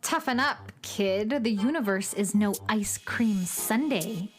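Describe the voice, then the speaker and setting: A woman's voice: mid-range voice, takes it slowly, loud music behind the voice, lightly acted. A woman acting a petulant, tough, cynical girl troublemaker with a sullen, dismissive manner in a children's radio drama. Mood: sullen, curt.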